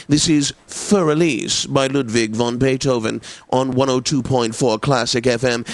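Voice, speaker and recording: A pompous, upper-crust classical radio DJ for a video game. He has a medium-pitched voice and savors each word with affected, careful enunciation. The delivery is theatrical, snobbish and self-satisfied, recorded close and very dry. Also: no echo.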